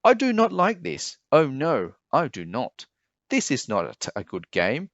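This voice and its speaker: A man's voice: mid-range voice, huge pitch swings, unhurried, no echo, highs cut off, voice pushed up. A man voicing an anxious, fussy pet fish who scolds indignantly in a children's storybook. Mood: upset. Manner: exclaiming, fretful, insistent. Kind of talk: children's picture-book character voice.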